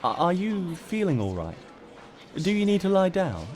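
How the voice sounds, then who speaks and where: A man voicing a polite, concerned female pony schoolteacher with a hesitant, gently fussing manner. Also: sits high, wildly swooping pitch, normal speed, voice pushed up. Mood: worried.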